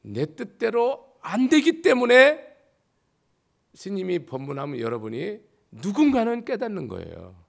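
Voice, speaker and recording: A man, a Buddhist monk, giving a sermon with a slightly hoarse voice, driving his points home with rhetorical questions and jumps between loud and quiet. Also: high voice, wildly swooping pitch, measured pace.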